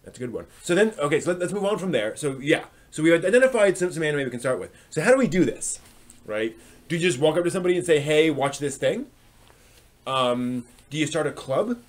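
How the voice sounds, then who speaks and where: A man's voice: on the high side, big pitch swings, brisk. A man hosting a live stream, talking casually and unscripted to viewers, often thinking aloud in a hesitant, rambling way.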